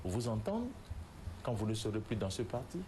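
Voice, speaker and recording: Male co-interviewer with a low, warm, dark voice; delivers formal, measured questions. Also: sing-song, a little hiss or hum. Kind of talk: televised political debate. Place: TV studio.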